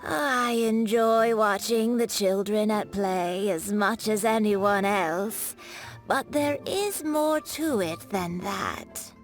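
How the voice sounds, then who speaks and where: A woman voicing a proud, wise old female artisan in a video game, with a deliberate, old-fashioned way of speaking. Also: medium pitch, lightly acted. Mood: proud, earnest. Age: older. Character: elderly woman kite maker, proud, wise craftswoman. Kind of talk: video game cutscene dialogue. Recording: music behind the voice.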